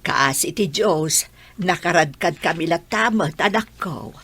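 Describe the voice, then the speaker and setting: An actress with a Filipino accent playing a gentle, pious, watchful elderly mother in a radio drama. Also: on the low side, expressive, unhurried, breathy edge, full character voice.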